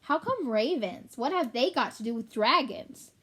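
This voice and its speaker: A woman's voice: fairly high pitch, lively intonation, normal speed, a bit bright, breathy edge. A woman reading the part of a reasonable, curious boy in a children's storybook, polite and straightforward.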